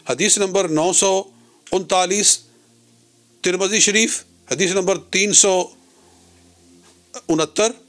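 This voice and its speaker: Male Islamic cleric, notably high register. Measured, didactic religious lecture delivery, phrase by phrase. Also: a bit bright. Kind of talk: religious lecture citing scripture.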